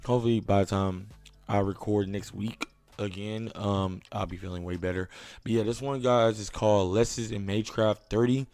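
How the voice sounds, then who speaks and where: A hoarse young man hosting a reaction video, chatting casually and thinking aloud as he goes. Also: lowish voice, steady tone, moderate pace, a little mellow, no room sound.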